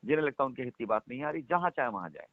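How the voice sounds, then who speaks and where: A man's voice: medium pitch, sing-song, racing, dry recording. A man on a phone call, speaking for a company, making his points insistently and repeating them for emphasis. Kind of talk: persuasive phone conversation. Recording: tinny phone line.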